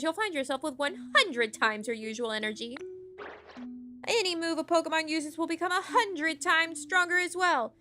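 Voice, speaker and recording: A woman's voice: high-pitched, voice pushed up. A woman voicing an indignant, proud, food-obsessed cook creature from a game, with dramatic exclamations. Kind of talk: game character read aloud.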